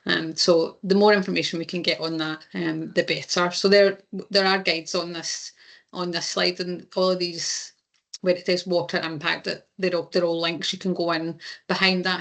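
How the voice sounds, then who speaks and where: A woman's voice: lowish voice, creaky, fairly bright tone. A woman with a Scottish accent giving a webinar presentation, steadily explaining slides as an insurance claims presenter.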